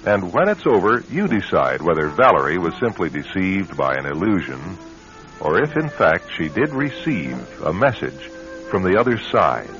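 A man hosting and narrating a suspense radio show in a theatrical manner with dramatic pauses. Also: deep voice, sing-song, a bit bright, faint music behind. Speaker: host and narrator. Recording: dull vintage radio recording.

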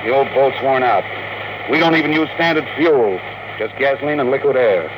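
Actor as gruff, wary rocket captain, noisy radio recording. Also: male voice, medium pitch, a little fast, lightly acted. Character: hard-drinking, down-on-his-luck rocket captain, wary and gruff. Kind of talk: old-time radio drama acting.

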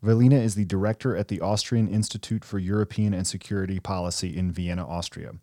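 Low-voiced male podcast host. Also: fairly even intonation, quick pace, mellow, no echo, studio quality. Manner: conversational, some restarts when asking questions. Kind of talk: podcast hosting.

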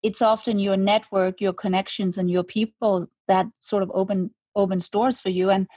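A woman's voice: mid-range voice, steady tone, normal speed, dark tone, slightly rough, no room sound, muffled recording. A woman, talking reflectively as an interview guest, often restarting her words. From Denmark. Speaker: guest, brand co-founder. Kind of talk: conversational interview.

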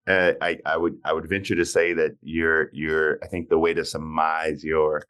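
A man's voice: very deep voice, little pitch movement, normal speed, fairly bright tone, smooth. A man hosting a podcast interview, asking long, rambling questions full of fillers. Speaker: podcast host.

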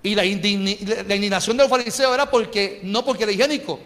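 A man's voice: sits high, quick pace, a bit bright, long reverb tail. A man with a Puerto Rican accent preaching a passionate sermon, calling on the congregation for amens. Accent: Puerto Rican Spanish. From Puerto Rico. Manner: impassioned, calls the congregation for amens. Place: church sanctuary.

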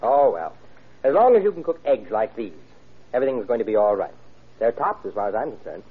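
An actor playing a practical young doctor, a fond son reassuring his mother in a brisk, offhand way in an old radio drama. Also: medium pitch, sing-song, husky. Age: young adult. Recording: thin, tinny old radio recording.

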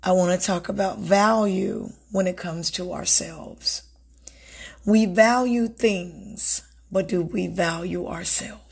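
A woman with a raspy, husky voice hosts a heartfelt self-help podcast. She speaks slowly and deliberately, often repeating key words, with a gravelly fry and a slight strain left over from being sick. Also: on the low side, fairly even intonation. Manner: earnest, repeats words for emphasis. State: recovering from illness, slightly strained voice. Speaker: podcast host.

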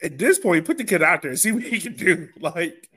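A man's voice: a little high, lively intonation, a little fast, bright, forceful tone, breathy edge. A man hosting a soccer podcast, talking casually in a rambling way and often repeating himself. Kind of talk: casual sports discussion.

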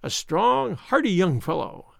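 A man's voice: high-pitched, sing-song, a little slow, vocal fry, fairly bright tone, dry recording, heavily acted. A man voicing a smug, cruel villain who taunts and sneers in a dramatized audiobook reading. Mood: gloating. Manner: taunting, insistent, mock-concerned.

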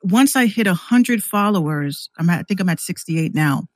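A woman's voice: fairly low pitch, lively intonation, normal speed, no echo, studio quality. A woman who streams and podcasts, chatting casually as a guest on a show.

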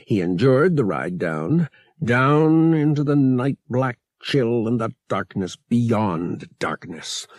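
A man's voice: medium pitch, creaky, dark tone, slightly rough, no room sound, studio quality. A man reading adventure fiction aloud as an audiobook narrator, in a scripted dramatic style.

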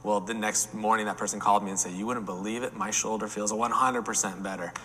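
A man's voice: on the low side, steady tone, quick pace, bright, forceful tone, big-room sound, a little hiss or hum. A man in his forties, a pastor, speaking candidly and earnestly in a panel discussion. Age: 40s.

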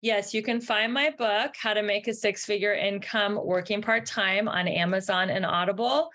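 A woman's voice: medium pitch, moderate pace, a bit bright, a little hiss or hum. A woman guest on a podcast interview, talking in an upbeat, earnest and encouraging way about her work. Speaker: podcast guest, success mentor. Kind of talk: interview answers.

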